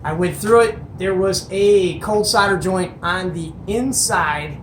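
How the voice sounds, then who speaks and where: Medium-pitched voice, enthusiastic host, casual show and tell, colloquial, folksy exclamations, echoey room, faint background noise. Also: unhurried.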